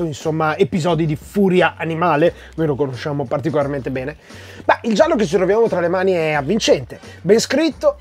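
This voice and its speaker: Guy hosting a comics review, kinda high husky voice, chatty, music behind him. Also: darkish tone. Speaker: comic book reviewer, video host. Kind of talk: comic review to camera, conversational. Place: small room with shelves of comics, indoors. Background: background music.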